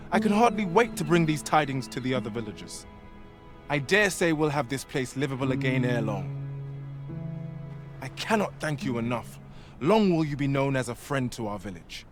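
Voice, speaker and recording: An actor playing a polite, earnest displaced villager in a fantasy video game, with courteous archaic phrasing. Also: male voice, on the high side, normal speed, over music, close to an everyday voice. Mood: grateful, relieved.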